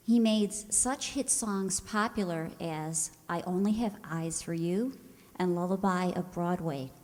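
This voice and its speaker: A woman hosting a stage show, giving a prepared introduction in a measured, conversational way. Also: lowish voice, dark tone. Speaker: host introducing a radio players' stage show. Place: live performance hall before an audience. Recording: reverberant hall, live stage sound.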